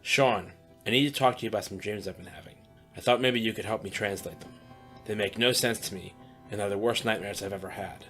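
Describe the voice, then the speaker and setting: A man's voice: on the low side, brisk, fairly bright tone, lightly acted. A man voicing a young male protector who is a worried, hesitant brother, with faint music behind. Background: faint background music.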